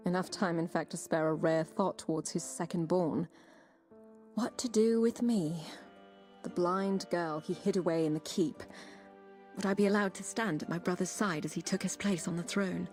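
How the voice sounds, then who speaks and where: A woman playing a blind young princess in an audio game, wry and bitter yet vulnerable, with measured delivery. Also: on the low side, fairly even intonation, darkish tone, faint music behind, lightly acted. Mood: somber, wry. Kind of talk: dramatic audio game narration and dialogue.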